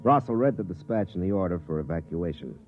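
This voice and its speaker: A world-weary, sardonic space captain from a 1950s radio drama. His low voice moves with lively swings of pitch, telling his story with bitter cynicism. It all comes through thin and narrow like a telephone, on an old broadcast recording. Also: fast. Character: jaded, hard-drinking army space captain narrating his story. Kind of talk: 1950s radio drama acting with first-person narration. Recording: band-limited 1950s radio recording with music.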